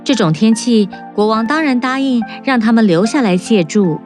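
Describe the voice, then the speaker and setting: A woman's voice: on the high side, moderate pace. Woman narrating a fairy tale over music. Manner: calm, even storytelling.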